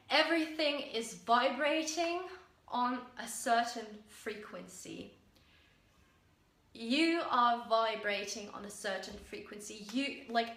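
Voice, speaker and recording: A young woman with a Dutch accent talks earnestly about self-help, pausing on fillers and restarting her sentences. Her voice is bright and sits a little high. The room around her rings with echo. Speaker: vlogger. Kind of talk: casual self-help talk to camera.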